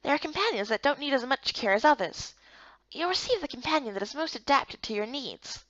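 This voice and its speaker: A woman's voice: sits high, expressive, fairly quick, fairly bright tone, dry recording, highs cut off. A woman voicing a patient, helpful male guild guide in a visual novel game, speaking politely and instructively.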